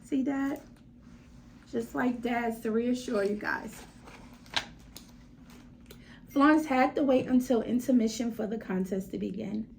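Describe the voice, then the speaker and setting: A woman's voice: a little high, unhurried, creaky, pauses often, darkish tone, a little hiss or hum. A woman reading a children's story aloud expressively, with dramatic emphasis and direct asides to her listeners.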